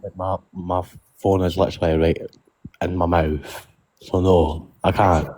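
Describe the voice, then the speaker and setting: Deep-voiced eighteen-year-old caller on a phone. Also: male voice, flat delivery, measured pace, a little mellow. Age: teens. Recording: distant noisy phone call.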